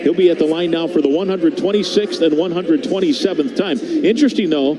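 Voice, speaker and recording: A man's voice: on the high side, a little fast, noisy background. A man giving live radio-style basketball play-by-play as the commentator, in clipped running descriptions that lift on big plays. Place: basketball arena, courtside.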